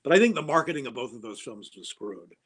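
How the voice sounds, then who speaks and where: A man speaking at an ordinary mid pitch, chatting casually as a podcast guest. He rambles with enthusiasm, stopping and restarting his sentences, and fills the gaps with ums and uhs. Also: big pitch swings, quick pace, a bit bright, slightly rough. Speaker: guest actor.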